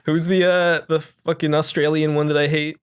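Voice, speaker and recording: Young male podcast host, animated, slangy, loose conversational delivery. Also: on the high side, clean tone. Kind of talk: casual pop-culture chat.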